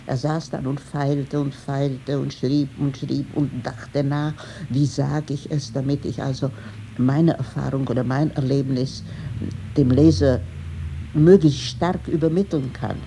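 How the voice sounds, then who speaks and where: An older woman in an interview, reflecting haltingly and searching for words as she recalls someone. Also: very deep voice, steady tone, warm tone, slight background noise.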